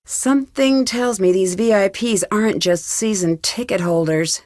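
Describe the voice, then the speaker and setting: An actress plays a young woman detective from an adventure game, recorded very dry and close. She talks to herself in clear, practical, curious remarks, with a medium pitch and steady determination. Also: moderate pace, dry recording, close to an everyday voice. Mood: curious, musing. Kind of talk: scripted adventure game dialogue, thinking aloud.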